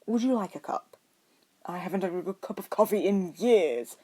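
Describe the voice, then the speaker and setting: A woman's voice: medium pitch, animated, normal speed, background noise, lightly acted. Woman as a cheerful, genial old wizard headmaster. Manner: genial, polite, wordy. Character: cheerful, kindly old male wizard headmaster, gently pushy.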